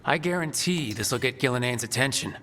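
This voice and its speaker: An actor playing a resolute, wry young leader of a band of fantasy heroes in a video game. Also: male voice, mid-range voice, expressive, brisk, bright, forceful tone, slight background noise. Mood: determined. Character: resolute, wry young male fantasy hero leading allies. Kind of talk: dramatic fantasy game acting. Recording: reverberant hall, faint game music.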